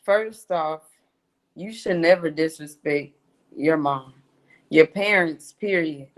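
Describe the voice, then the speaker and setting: A woman's voice: low voice, slow. A young woman, a daughter on a church panel, giving firm, earnest advice.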